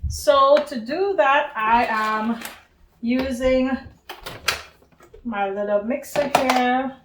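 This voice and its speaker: A woman's voice: high-pitched, drawn-out words, echoey room, slight background noise. A woman from Trinidad and Tobago hosting a home cooking demonstration, chatty and talking to viewers as friends. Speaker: cooking show host.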